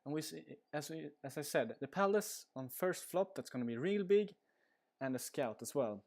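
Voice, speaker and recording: A man's voice: a little high, lively intonation, moderate pace, a little mellow. A man with a Swedish accent giving casual live commentary on a card game stream, rambling with lots of fillers. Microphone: headset.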